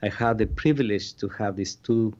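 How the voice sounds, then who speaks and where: Mexican-born male physician guest, low voice, earnest storytelling, dull lo-fi audio. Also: normal speed, darkish tone. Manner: earnest, non-native grammar, frequent restarts. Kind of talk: interview storytelling. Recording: dull lo-fi sound.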